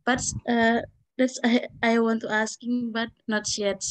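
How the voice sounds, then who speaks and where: A woman's voice: fairly high pitch, level tone, a little slow. Hesitant woman searching for words. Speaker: class participant. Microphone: video call.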